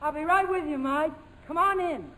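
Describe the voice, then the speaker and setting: A woman's voice: sits high, normal speed, a bit bright. A defiant young woman in vintage 1940s radio style, wary and clipped in her replies. The voice rings with long reverb, and there is faint music in the broadcast. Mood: softened, guarded.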